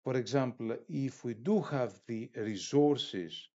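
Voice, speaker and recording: A man giving a formal instructional lecture in a halting, hesitant way, with pauses mid-phrase. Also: mid-range voice, dark tone, dull audio. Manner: formal, academic wording. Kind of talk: instructional lecture, explaining step by step.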